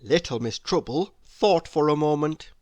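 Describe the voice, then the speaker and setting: A woman reading a children's storybook aloud in an expressive narrating style. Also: low-pitched, unhurried, no echo.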